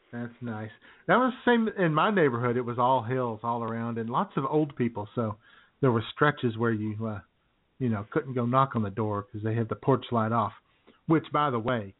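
A man co-hosting a comedic radio show, chatting with frequent laughs and mock outrage. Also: mid-range voice. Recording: phone-like radio audio.

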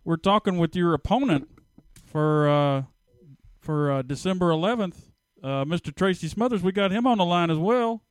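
A man's voice: high voice, moderate pace, clear, resonant tone, dry recording. A man hosting a podcast, introducing a guest with hesitant fillers. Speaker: podcast host. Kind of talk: casual podcast chat.

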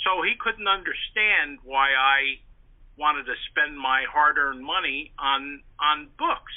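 A man's voice: a little high, slow. An older man from the Northeastern United States, an interview guest telling anecdotes casually and repeating words as he goes. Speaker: interview guest, writer and historian. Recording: tinny, band-limited phone line.

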